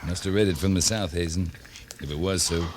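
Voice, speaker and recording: An actor playing a gruff, weary, commanding cavalry captain with clipped orders in a 1950s western radio drama. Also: male voice, low voice, a little fast, lightly acted. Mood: grim resolve. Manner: terse, commanding. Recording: faintly noisy 1950s radio recording.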